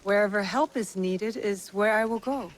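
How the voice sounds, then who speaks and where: Casting: actress as young female avenger, steady and determined, medium pitch, game drama, faint noise behind. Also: moderate pace, natural voice. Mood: resolute. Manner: steady, resolute. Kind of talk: video game cutscene acting.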